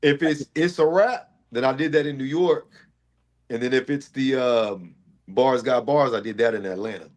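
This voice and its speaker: A man's voice: medium pitch, normal speed. A man with a Texas accent, a guest rapper telling laid-back stories in casual podcast chat, laughing often.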